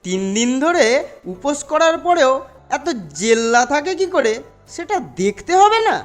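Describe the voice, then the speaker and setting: Woman voicing a high, lively scheming schoolboy. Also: normal speed. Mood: curious, suspicious. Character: scheming, curious, cocky schoolboy. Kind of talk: cartoon voice acting. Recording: loud music behind the voice.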